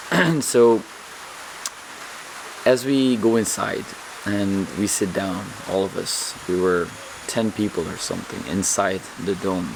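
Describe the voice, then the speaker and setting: A man vlogging calmly and earnestly, his voice slightly hoarse, often repeating words as he searches for them. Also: deep voice, background noise. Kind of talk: reflective vlog monologue.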